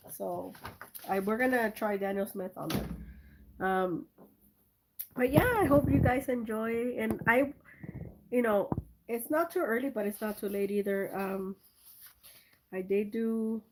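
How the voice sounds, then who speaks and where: A woman's voice: mid-range voice, a little mellow, slight background noise, rough recording. A woman with a slight German accent, talking casually and rambling with many fillers and restarts as she paints on her own channel. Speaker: art channel host.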